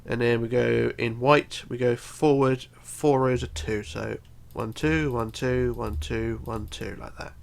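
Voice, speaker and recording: A man's voice: medium pitch, steady tone, unhurried, vocal fry, few pauses. A man with a bunged-up, congested voice giving a casual step-by-step building tutorial, counting aloud methodically. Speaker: tutorial host.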